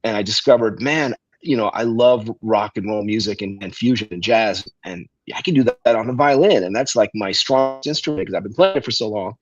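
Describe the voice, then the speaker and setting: Low-voiced man telling his story. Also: fairly quick, hardly any pauses, highs cut off. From Russia, raised in Cleveland, Ohio. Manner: relaxed storytelling with frequent um and uh. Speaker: guest musician. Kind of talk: casual interview talk. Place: restaurant.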